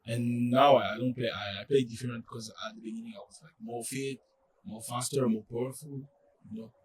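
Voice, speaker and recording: A young man from France with a French accent, speaking English as a second language in a casual interview, halting and full of fillers. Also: medium pitch, fairly even intonation, recorded from a distance, slight background noise. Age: young adult. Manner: halting, many fillers and restarts. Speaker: college soccer player, guest.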